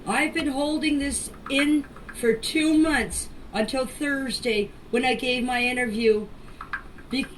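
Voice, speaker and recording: A mature woman giving an informal spoken statement in a deliberate, halting way, in short phrases, as a voice message. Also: high voice, a bit bright. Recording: distant noisy amateur voice clips.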